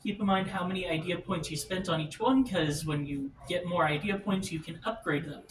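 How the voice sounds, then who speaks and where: Male game master, casual rules talk, high voice, roomy distant recording with faint noise. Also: brisk. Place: indoor room, group game table.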